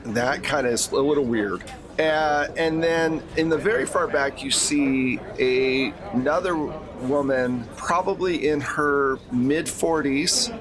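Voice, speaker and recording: A man's voice: on the high side, takes it slowly, crowd noise. A man acting as game master, narrating a tabletop role-playing game in an informal, conversational way.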